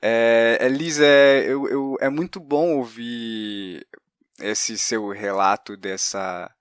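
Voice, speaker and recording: A man's voice: mid-range voice, fairly even intonation, slow pace, clear, resonant tone, dry recording, clean studio sound. A man with a Brazilian accent hosting a conversational interview, hesitantly searching for his words. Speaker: podcast host.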